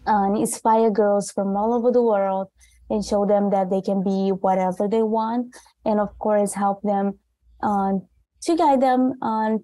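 A woman's voice: medium pitch, mellow, no echo, low-quality recording. A young woman from Brazil, hosting an interview over a video call in a friendly, hesitant way with many fillers. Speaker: host.

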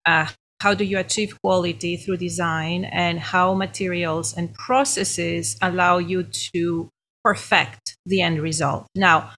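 A middle-aged woman from Greece with a Greek accent, a guest professor telling her story in a podcast interview in a thoughtful, measured way. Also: lowish voice, fairly even intonation, fairly bright tone. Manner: thoughtful, measured, laughs now and then.

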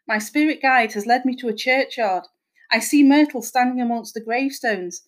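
A woman's voice: fairly high pitch, moderate pace, a bit bright, close to an everyday voice. A woman voicing a scheming female fake medium who feigns sympathy, pleading melodramatically as if in a trance. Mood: sympathetic, eerie.

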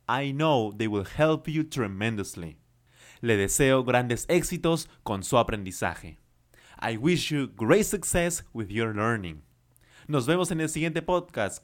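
A man teaches in an unhurried, deliberate way and reads each sentence first in Spanish and then in English. His pitch rises and falls a great deal as he encourages the listener and gives numbered tips. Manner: slow, earnest and encouraging, with emphatic numbered tips. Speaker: language teacher and podcast host. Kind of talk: bilingual podcast lesson, reading Spanish then English.

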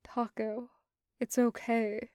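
Woman doing a wounded young man, weak from blood loss, hushed near-sighs, smooth voice, dry close mic. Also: fairly high pitch, little pitch movement, takes it slowly, dry recording. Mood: faint, tender. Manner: quiet, faint, near sighing.

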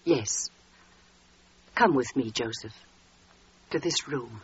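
A woman's voice: very deep voice, slow, a little hiss or hum. An actress in a 1940s radio drama playing an anxious, devout mother and wife with an emotive, melodramatic delivery. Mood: grave, urgent. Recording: muffled 1940s radio recording.

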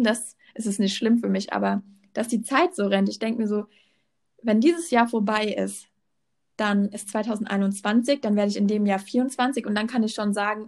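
A woman's voice: mid-range voice, darkish tone. A woman in her twenties, a student, chatting casually; she rambles and corrects herself as she goes. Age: 20s. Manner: rambling, self-correcting, laughs often. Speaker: student, co-host. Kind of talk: casual chat.

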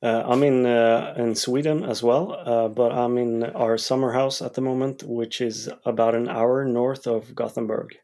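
A man's voice: mid-range voice, fairly even intonation, unhurried, few pauses, dark tone. A man talks easygoing and casual on a podcast, with a mid-range voice and short, laid-back answers. He keeps the chat light with the odd laugh.

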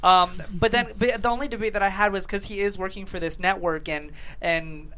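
A man's voice: high voice, fairly even intonation, fairly quick, fairly bright tone. A man chatting casually on an actors' panel, circling hesitantly around his question, with noise in the recording. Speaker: actor on a table-read panel. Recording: clearly noisy room sound.

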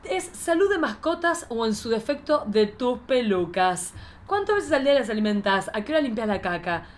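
A woman's voice: on the high side, big pitch swings, normal speed. A woman with an Argentine accent hosting a casual chat with playful, campy banter. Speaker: podcast host.

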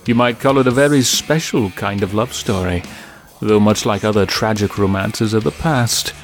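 A male horror host reads scripted narration in a gravelly voice. He is measured, ominous and florid, with faint music underneath. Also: fairly low pitch. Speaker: narrator and host of horror audio drama series.

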